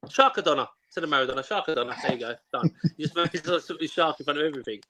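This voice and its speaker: Bright-voiced man telling banter-filled stories. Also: a little high, racing. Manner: chatty, anecdotal storytelling full of banter. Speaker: former player and pundit. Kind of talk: casual chat.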